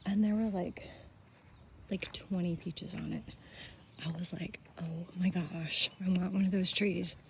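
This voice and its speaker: An older woman chatting casually on a morning walk through her neighborhood, gushing and often soft and whispery. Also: on the low side, fairly even intonation, measured pace, dull audio, slight background noise. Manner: chatty, gushing, softly spoken with breathy whispery stretches. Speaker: vlogger. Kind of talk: casual walking vlog. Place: outdoors on a neighborhood street.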